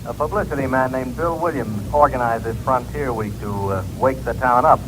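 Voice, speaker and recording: A man's voice: mid-range voice, moderate pace, a bit bright, close to an everyday voice, poor audio. An American man playing a bantering insurance contact in a 1950s radio drama, wry and conversational. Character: bantering male insurance contact briefing an investigator by phone. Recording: old radio recording, faint noise.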